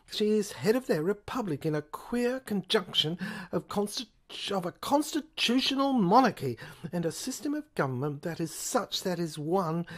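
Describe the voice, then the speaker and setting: A woman's voice: medium pitch, pitched above the performer's own voice. Casting: woman playing scheming alien detective, male, pompous and declamatory, for sci-fi audio drama. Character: sinister, sarcastic male chief detective, scheming alien invader. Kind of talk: audio play acting.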